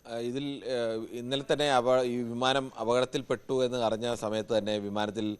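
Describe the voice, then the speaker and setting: A man's voice: medium pitch, steady tone, normal speed, clean tone. A man giving unscripted live news analysis as a correspondent, explaining with frequent rephrasing.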